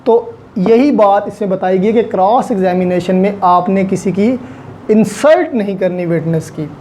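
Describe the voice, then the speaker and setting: A woman's voice: medium pitch, moderate pace, warm tone, slight background noise. A woman with a Pakistani accent lecturing on law in a didactic way, mixing in English terms and repeating key points for emphasis.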